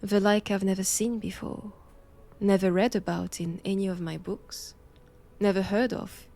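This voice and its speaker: A woman's voice: medium pitch, vocal fry, dark tone. A woman with a French accent playing a stern, righteous vampire-hunting nun in a roleplay audio drama, speaking in a formal, commanding manner. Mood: fascinated, clinical. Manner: slow, deliberate, formal, commanding.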